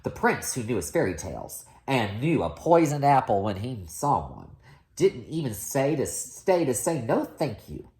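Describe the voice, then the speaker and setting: A woman's voice: extremely low pitch, very animated, a little slow, dark tone. A woman reading a children's story aloud expressively as a storyteller.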